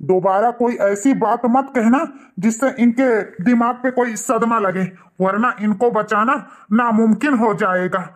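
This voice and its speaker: A man's voice: extremely high pitch, measured pace. Formal male doctor for a cartoon, grave and cautioning, voiced by a man. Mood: grave, reassuring. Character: male hospital doctor, grave, reassuring, lecturing. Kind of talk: cartoon dialogue.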